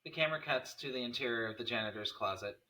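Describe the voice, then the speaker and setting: A man narrates a screenplay at a table read. His mid-range voice is bright and crisp in tone, picked up a little way from the microphone. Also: mid-range voice, fast talker, far from the mic, poor audio. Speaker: narrator reading stage directions.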